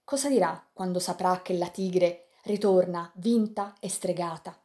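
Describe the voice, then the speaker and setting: A woman's voice: a little slow, close to an everyday voice. A woman voicing a brooding pirate chief, fierce and lovesick. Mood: mournful. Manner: impassioned, soft and low in grief, fierce in anger. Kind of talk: audiobook reading.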